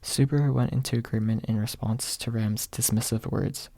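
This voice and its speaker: A man narrating an audiobook in a soft, close ASMR reading style, with a husky, gravelly voice. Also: fairly low pitch, steady tone, moderate pace, dry recording.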